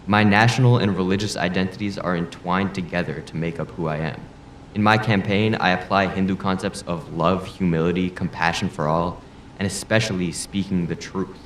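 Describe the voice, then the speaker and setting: A deep-voiced male politician played by a man argues his case in a formal debate. He is proud, blunt and earnest, laying out each point deliberately. The room echoes around him, with faint noise behind. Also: lightly acted. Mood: earnest, resolute. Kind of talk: audio drama acting, formal debate rhetoric. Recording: roomy echo, faint background noise.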